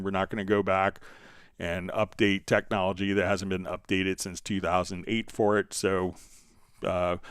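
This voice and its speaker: A man with a lowish, smooth and clean voice hosts a casual live stream. He reads out questions and explains his answers informally, wandering into asides. The sound is close and dry. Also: no room sound. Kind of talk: casual unscripted Q&A talk, reading out viewer questions.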